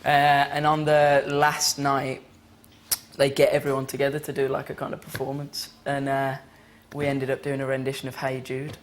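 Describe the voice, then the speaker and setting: Young male guest, chatty, animated anecdote, faint studio hiss, lapel mic. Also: mid-range voice, normal speed. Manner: laughing, animated storytelling. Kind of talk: casual storytelling on a TV chat show. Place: bright TV studio set.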